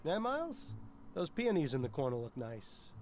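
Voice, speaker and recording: A man's voice: a little high, huge pitch swings, moderate pace, a little mellow, soft background music. A man playing a genial, wry middle-aged detective sidekick in an adventure game, florid and teasing in manner. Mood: teasing, droll. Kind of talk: voiced adventure game dialogue.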